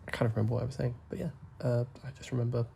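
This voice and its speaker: A young man, talking softly and rambling as he plays a game, full of fillers and asides. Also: mid-range voice, steady tone, creaky, a little hiss or hum. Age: young adult. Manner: soft, deliberately hushed talk with frequent fillers. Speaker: gaming YouTuber. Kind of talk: rambling gameplay commentary.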